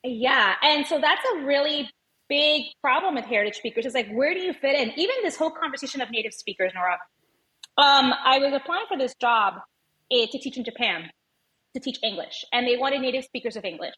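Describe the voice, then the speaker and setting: A woman's voice: high-pitched, animated, brisk, bright, forceful tone, poor audio. A woman from Venezuela who was raised in the United States, a native Spanish speaker, talking conversationally as a podcast guest and telling personal stories with quoted dialogue. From born in Venezuela, raised in the United States. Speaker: podcast guest, language educator and advocate. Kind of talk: conversational interview storytelling.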